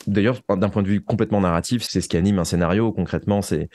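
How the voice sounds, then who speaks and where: A man's voice: low voice, fast, a little mellow, no room sound. A man speaking as a writer in a reflective interview, searching for his phrasing and repeating words as he goes. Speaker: guest, writer of audio fiction.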